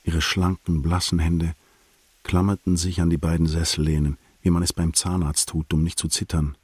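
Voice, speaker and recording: An actor playing a reflective male prosecutor, narrating a literary radio drama in a measured, detached, observant way. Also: deep voice, little pitch movement, darkish tone, breathy edge, dry recording. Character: reflective male state prosecutor recounting a visit to friends.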